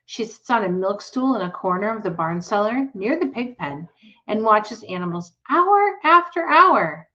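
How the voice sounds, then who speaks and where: A woman's voice: medium pitch, sing-song, moderate pace, pushed and bright, lo-fi sound, pitched above the performer's own voice. Woman reading as an anxious farm mother. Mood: worried. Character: worried farm mother, fussy and puzzled.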